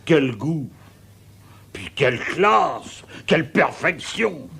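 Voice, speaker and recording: High, gravelly, blustery cartoon neighbour. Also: male voice, big pitch swings, slow, background noise, exaggerated voice. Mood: admiring. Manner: hesitant, exclaiming, effusive. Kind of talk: French animated cartoon dubbing.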